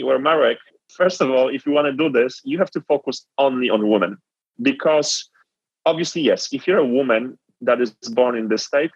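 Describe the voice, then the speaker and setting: A man's voice: medium pitch, husky, no echo, muffled recording. A man from Poland with a Polish accent, telling his story as an interview guest in an earnest, reflective way. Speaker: guest entrepreneur.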